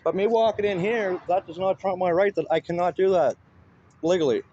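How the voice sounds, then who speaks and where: A man speaks in a high, soft-edged voice. He argues his points insistently in plain, colloquial language. The sound is muffled, with faint noise, as picked up by a handheld camera. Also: brisk, slightly rough. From Canada. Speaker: citizen auditor filming police. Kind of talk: casual argumentative conversation.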